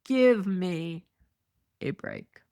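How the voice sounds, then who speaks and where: A woman's voice: on the low side, lively intonation, very slow, warm tone, clean tone. A middle-aged woman hosting a solo podcast, reading news articles and adding sarcastic, indignant asides. She talks with many fillers and is losing her voice. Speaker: podcast host.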